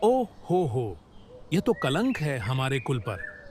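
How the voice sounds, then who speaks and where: A man's voice: medium pitch, wildly swooping pitch, slow pace, a little mellow. Man acting harsh imperious king, noisy background. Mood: outraged, stern. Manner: imperious, declamatory. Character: proud heartless king, harsh and commanding. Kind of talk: animated moral story acting.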